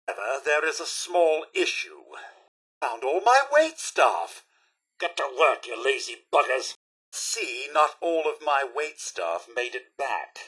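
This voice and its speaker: A fussy male robot butler from a video game, with a bright, high voice. He speaks prim British English in swooping, theatrical phrases, formal and deferential even when exasperated. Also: husky, exaggerated voice. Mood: bossy, exasperated. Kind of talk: video game character voice acting.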